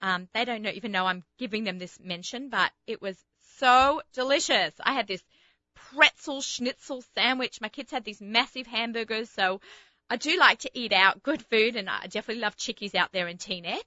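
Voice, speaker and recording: A woman's voice: a little high, normal speed, pushed and bright, no room sound, lo-fi sound. A woman with an Australian accent tinged with American, hosting a radio show in a chatty, rambling way. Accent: Australian, slightly Americanized. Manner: chatty, rambling, many fillers. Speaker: radio host. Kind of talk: casual radio chat.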